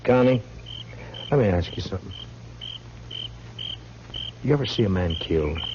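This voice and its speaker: Very deep-voiced, terse frontier marshal. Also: male voice, expressive. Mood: pointed, grave. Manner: terse, dry, deliberate. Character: grim, laconic frontier marshal in the Old West. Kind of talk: 1950s radio western acting. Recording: muffled, noisy 1950s radio recording.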